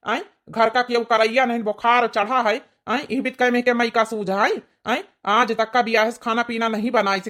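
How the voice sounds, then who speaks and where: A woman's voice: fairly high pitch, fairly bright tone, close to an everyday voice. A woman voicing a grumpy elderly village father-in-law in a cartoon comedy, rambling and repeating in an Awadhi accent. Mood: disapproving. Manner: rambling, repetitive scolding.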